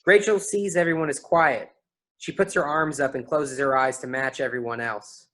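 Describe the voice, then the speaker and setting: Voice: medium pitch, fairly even intonation, moderate pace, a bit bright. A narrator reading out a play's stage directions as scripted narration.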